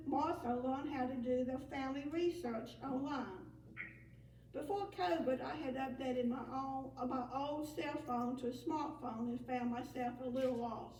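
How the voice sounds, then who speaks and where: High-voiced woman in an echoey hall. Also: darkish tone, a little hiss or hum. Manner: reads aloud with small slips and restarts. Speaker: senior center director. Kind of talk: reading prepared remarks at a meeting. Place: large meeting room. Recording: echoey room, distant mic, faint ambience.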